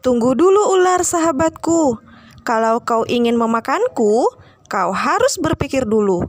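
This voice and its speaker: Woman voicing a high-pitched, emphatic clever little mouse. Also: very animated, a little slow, no echo, exaggerated voice. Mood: pleading, persuasive. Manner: emphatic, admonishing. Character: clever lively mouse, scolding and persuading a snake.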